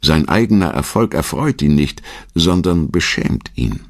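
A man with a very low, deep voice reads documentary narration. His delivery is measured and articulate, as in a scripted biography. The sound is dry and close, with no room on it. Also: lively intonation, dry recording.

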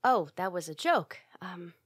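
A woman's voice: fairly low pitch, big pitch swings, unhurried, a bit bright, breathy edge, dry recording, studio quality, heavily acted. A woman playing a flustered, easily embarrassed tsundere roommate in an ASMR roleplay, stammering and defensive but secretly fond. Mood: softened, awkward. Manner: stammering, defensive, huffy, hesitant.

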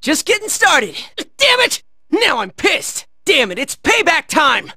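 An American man playing a cocky, excitable teenage boy in a video game, barking out brash, high-strung battle lines. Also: unhurried, vocal fry, no room sound, clean studio sound, full character voice. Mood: angry, defiant.

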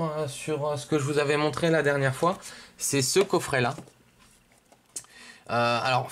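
A man from giving casual, hesitant, rambling unboxing commentary indoors at a table. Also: medium pitch. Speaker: video host, card collector.

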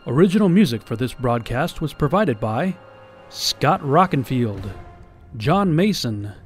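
Slow-paced male credits announcer. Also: medium pitch, sing-song, faint music behind. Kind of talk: scripted announcement.

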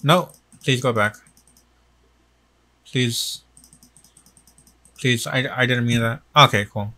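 Slow-talking young man vlogging at home. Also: mid-range voice, bright, forceful tone. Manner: slow, self-deprecating, repeats words aloud while learning. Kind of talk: casual commentary while doing a language app lesson. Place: small room indoors, bedroom.

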